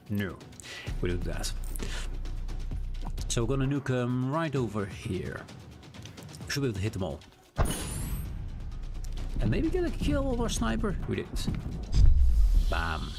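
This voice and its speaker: A Dutch man with a Dutch accent, whose first language is Dutch, streaming a game live, chatting casually and reading the game's text aloud as he plays. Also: mid-range voice. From Netherlands. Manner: chatty, reads game text aloud, thinks out loud with restarts. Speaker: gaming streamer. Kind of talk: live gameplay commentary.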